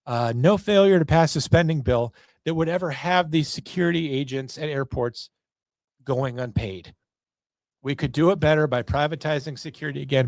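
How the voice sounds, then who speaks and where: Higher-pitched American man, blunt podcast host. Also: a little mellow, no room sound. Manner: blunt, sarcastic, profane. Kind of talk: opinionated political monologue.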